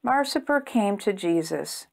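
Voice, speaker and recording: A woman reading a storybook aloud to young children in an expressive storytime style. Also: medium pitch, measured pace, creaky. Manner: expressive, simple phrasing aimed at young children. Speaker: children's storytime reader and host.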